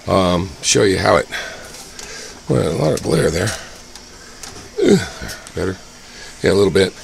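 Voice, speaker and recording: A man giving casual, off-the-cuff hands-on commentary in a halting way, as if muttering to himself while he inspects things. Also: deep voice, very animated. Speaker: hobbyist vlogger showing old computer hardware. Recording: clear background noise with room ambience.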